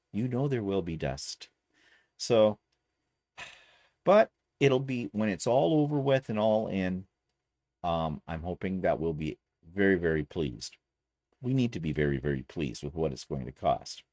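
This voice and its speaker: Man from canada, chatty rambling vlog talk, lowish voice, dry home sewing room. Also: sing-song, a little slow, darkish tone, dry recording. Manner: chatty, rambling, self-deprecating asides. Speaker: quilting vlogger. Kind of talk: casual vlog chat.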